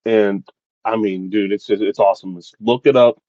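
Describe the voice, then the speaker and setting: A man's voice: fairly low pitch, fairly even intonation, normal speed, dark tone, no room sound. A man co-hosting a podcast, joking in a playful, mock-grand way about snacks. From West Virginia. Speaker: podcast co-host. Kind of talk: casual chat. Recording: dull, dry lo-fi mic.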